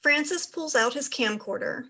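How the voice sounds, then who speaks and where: A woman's voice: high-pitched, moderate pace, sharp tone. A woman narrator reading stage directions in scripted narration for a play reading.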